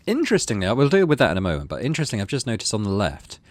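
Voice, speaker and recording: A man's voice: fairly low pitch, wildly swooping pitch, very fast. A man hosting a gaming video, reading story text aloud expressively and adding casual, musing commentary.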